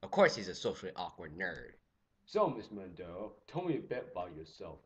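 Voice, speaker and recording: A teenage boy giving a goofy, jokey history lecture, swinging from loud exclamations to quiet asides. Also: low-pitched, a little fast, husky. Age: teens. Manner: goofy, animated, jumps between loud exclamations and mutters. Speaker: student presenter. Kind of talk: comedic lecture with skits.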